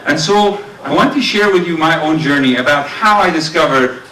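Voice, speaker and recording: A middle-aged man with a booming voice giving an earnest speech at a community dinner, telling stories with many fillers. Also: lively intonation, normal speed, sharp tone. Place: large banquet hall. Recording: roomy hall sound, distant mic.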